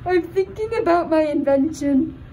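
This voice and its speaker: A young woman voicing a dim, excitable puppet inventor in a scripted puppet show. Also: sits high, moderate pace, poor audio. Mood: excited. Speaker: student puppeteer. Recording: faint background noise.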